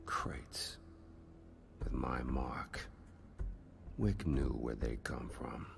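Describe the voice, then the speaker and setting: A man playing a menacing, ambitious older crime boss in a video game, gravelly and deliberate, with theatrical pauses. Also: very deep voice, soft background music, exaggerated voice. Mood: grim storytelling. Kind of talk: video game dramatic dialogue.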